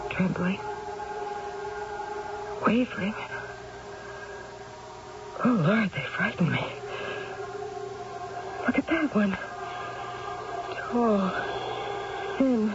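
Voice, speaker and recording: An actress playing an anguished, sleepless middle-aged wife with a wry bitter edge, in a dramatic, halting old-time radio style. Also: female voice, medium pitch. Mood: fragile, frightened. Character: anguished, insomniac wife and mother, wryly bitter. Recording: muffled, noisy old radio recording.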